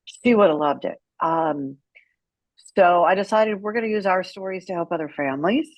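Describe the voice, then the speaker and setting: A woman's voice: lowish voice, normal speed, no echo. A woman speaking as an interview guest, telling her story in a candid, outspoken and emphatic way. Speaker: guest, author and caregiver advocate.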